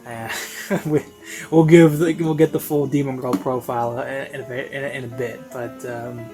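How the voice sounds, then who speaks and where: A man's voice: mid-range voice, steady tone, dark tone. Hesitant man rambling over classical music. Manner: hesitant, halting, thinking aloud. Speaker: reviewer of audio series. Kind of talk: casual rambling spoken review. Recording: classical music playing behind.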